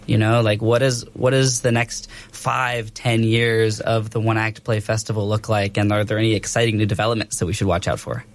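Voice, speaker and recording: A young adult man with a lowish voice co-hosts in a radio studio. He speaks casually and loosely, filling gaps with many small hesitations. His questions come across as easygoing. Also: flat delivery, a little fast, few pauses. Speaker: radio co-host.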